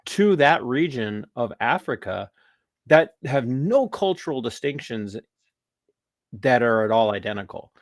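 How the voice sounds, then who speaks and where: An American man hosting a podcast, talking politics in a rambling, animated way with restarts and laughs. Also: medium pitch, no room sound, clean studio sound. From United States. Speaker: podcast host. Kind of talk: casual political talk.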